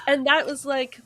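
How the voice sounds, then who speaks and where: A woman's voice: high voice, dry recording. A woman with an American accent, a game co-creator chatting casually in an interview, rambling with many fillers and frequent laughs. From United States. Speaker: tabletop game co-creator, guest.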